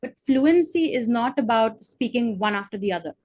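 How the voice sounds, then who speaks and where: A woman's voice: a little high, lively intonation, normal speed, darkish tone, no echo. A woman tutoring over a video call. Her voice sounds close and dry, with no room around it. She gives encouraging feedback and fills her talk with "you know" and "um". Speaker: English tutor. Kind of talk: conversational teaching with feedback.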